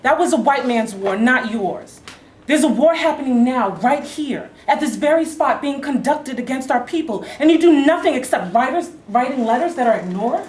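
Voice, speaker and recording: A woman's voice: high-pitched, lively intonation, normal speed, darkish tone. Woman playing a defiant, blunt wife, echoey room. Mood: angry. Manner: confrontational, challenging. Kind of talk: staged play reading. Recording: echoey room, faint noise.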